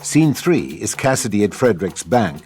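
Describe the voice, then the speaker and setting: A man narrates a script's scene directions in a scripted reading style. His voice is fairly low, and music plays clearly behind him. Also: very animated, moderate pace, darkish tone.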